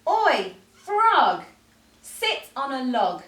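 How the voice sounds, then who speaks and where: A woman's voice: high voice, very animated, extremely slow, a bit bright, reverberant, recorded from a distance, voice pushed up, exaggerated voice. A woman voicing a bossy, know-it-all cat from a children's picture book, with emphatic, insistent delivery.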